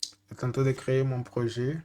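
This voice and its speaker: A man from Angola, a native Portuguese speaker with an Angolan accent, works as a data consultant and gives a hesitant screen-share tech tutorial, often pausing to search for French words. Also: mid-range voice, flat delivery, darkish tone.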